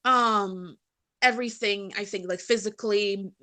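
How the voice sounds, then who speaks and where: A woman with a medium-pitched voice shares her views in an informal discussion. Her delivery is halting, with many repeated words and sentences begun again. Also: fairly even intonation, sharp tone. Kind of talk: casual opinionated discussion.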